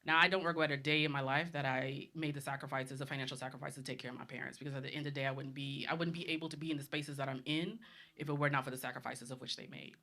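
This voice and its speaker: A woman's voice: very deep voice, little pitch movement, very fast, sharp tone. A woman in her thirties from the Bahamas, a panelist speaking bluntly and confidently in a live discussion.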